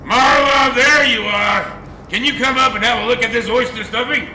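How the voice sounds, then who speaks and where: A man's voice: very high-pitched, steady tone, normal speed, reverberant, very noisy. An actor playing a hearty older father calling out from the house in a period audio drama.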